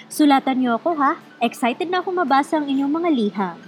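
A woman's voice: high voice, animated, fairly quick, faint music behind. A woman hosting a scripted radio show, speaking to listeners directly in an exhortative, conversational way. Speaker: radio program host.

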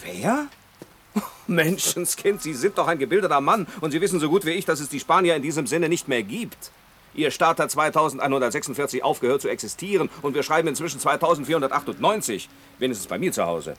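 A man's voice: fairly high pitch, expressive, fast, fairly bright tone. An actor playing an educated, testy foreign visitor in his forties in a 1960s German radio play, sardonic and exasperated. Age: middle-aged. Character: educated foreign visitor, man over forty, wry and testy. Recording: noisy 1960s radio drama recording.

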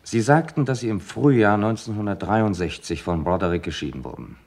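A composed police inspector in a radio crime play. His voice is low, and he questions people formally and politely, with restrained, methodical firmness. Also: brisk, creaky, a little mellow. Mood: probing, polite. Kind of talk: German radio crime drama acting.

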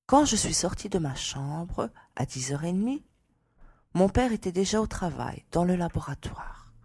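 A woman plays a reserved young lady testifying in an audiobook mystery. The voice is husky and on the low side, recorded close and dry. Answers are formal, precise and composed. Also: dark tone, dry recording, heavily acted. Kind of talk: audiobook character acting.

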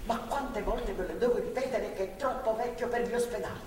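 An actress playing an older, solicitous captor and caregiver in a stage drama, with a homely, coaxing, questioning manner. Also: female voice, on the high side, a little fast, natural voice. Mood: worried. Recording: very echoey, distant, noisy stage recording.

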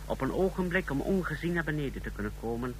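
An actor in a radio drama playing an efficient, deferential officer of a totalitarian lab, explaining in clipped phrases. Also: male voice, a little high, animated, fast talker, lightly acted, rough recording. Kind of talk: radio drama acting in Dutch. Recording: radio drama with faint noise.

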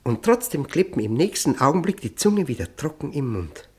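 An actor playing an obsessed, thirst-tormented prisoner who is losing his mind, in an intense, feverish radio drama performance with halting repetitions. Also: fairly high pitch, sing-song, a little mellow. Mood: feverish, driven. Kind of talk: German radio drama monologue and dialogue.

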